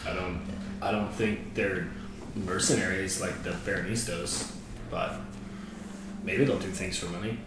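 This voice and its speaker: Low-voiced man, very echoey and noisy. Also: steady tone, unhurried, pauses often. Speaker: tabletop role-playing game player. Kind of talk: casual game discussion. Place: living room group session. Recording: very echoey, distant, loud background noise.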